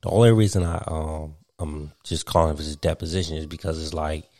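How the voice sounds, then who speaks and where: Young guy from virginia, real deep voice, rambly casual podcast talk, dry close sound. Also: fairly even intonation, a little fast, a little mellow, no echo. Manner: rambling, filler-heavy, laughs often. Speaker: podcast host. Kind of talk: casual chat.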